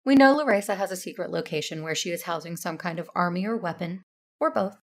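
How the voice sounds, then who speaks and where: A woman playing a calm, firm Jedi aunt in an audio drama, measured and persuasive, with a creaky voice. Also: fairly low pitch. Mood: grave, persuasive. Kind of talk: audio drama acting with first-person narration.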